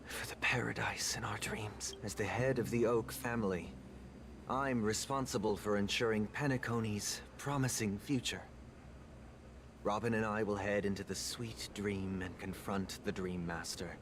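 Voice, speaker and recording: An actor playing a composed young head of a noble family in a video game, with polite, formal, deliberate speech. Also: male voice, fairly low pitch, fairly even intonation, a little hiss or hum. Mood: solemn resolve. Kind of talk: scripted video game voice acting. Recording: loud music under the voice.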